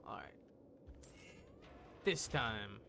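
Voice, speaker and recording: A man's voice: fairly low pitch, expressive, very slow, gravelly, sharp tone, slight background noise. A man giving casual gaming livestream commentary in short, playful asides, as if talking to the game and chat. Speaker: livestream host.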